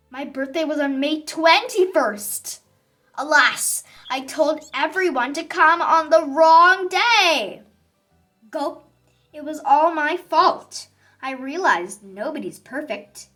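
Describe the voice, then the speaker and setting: Woman as little sour grape, storybook narrator: high, bright, theatrical, grudge-prone but repentant. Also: soft background music. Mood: dismayed. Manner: theatrical, exclaiming, drawn-out emphasis on words. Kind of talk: children's picture book read-aloud.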